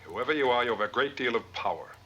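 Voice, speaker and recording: An actor playing a terse, authoritative moonbase commander in a 1970s TV science-fiction drama. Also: male voice, medium pitch, animated, fast, lo-fi sound, a crowd in the background, close to an everyday voice. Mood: challenging.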